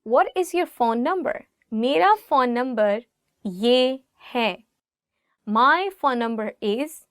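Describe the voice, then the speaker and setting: A woman with a Pakistani accent and native Urdu teaches phrases as a lesson host. Her voice is on the high side, clean and resonant. She pronounces examples deliberately, in a dry recording with very little room sound. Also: smooth, no echo. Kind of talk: language lesson, phrases given in two languages.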